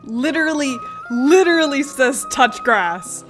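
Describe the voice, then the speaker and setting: A woman's voice: high-pitched, animated, measured pace, fairly bright tone, soft background music. A woman streaming a game, reading dialogue aloud and commenting casually.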